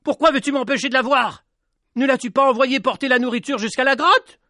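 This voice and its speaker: A man voicing Petit Jean, an impulsive young peasant, in a high, strained voice while reading his storybook aloud. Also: big pitch swings, normal speed, dry recording, studio quality. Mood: furious. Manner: high strained pleading and shouting.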